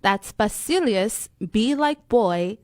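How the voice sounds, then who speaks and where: A woman's voice: on the high side, lively intonation, slow pace, a little mellow, dry recording. An American woman presenting a talk radio show as co-host, announcing the guest and phone details.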